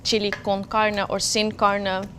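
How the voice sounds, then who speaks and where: A woman from Afghanistan, a guest in a kitchen, talking in a friendly way with frequent pauses and fillers. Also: mid-range voice, monotone, fairly bright tone, a little hiss or hum. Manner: friendly, hesitant with many fillers. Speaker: guest, marketing manager. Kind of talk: casual chat.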